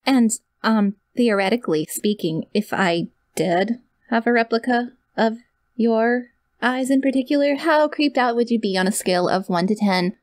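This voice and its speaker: A woman's voice: on the high side, normal speed, no echo, natural voice. A woman playing a sweet, awkward, clingy young yandere kidnapper in an ASMR audio roleplay, rambling and gushing straight to the listener. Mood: nervous, coaxing.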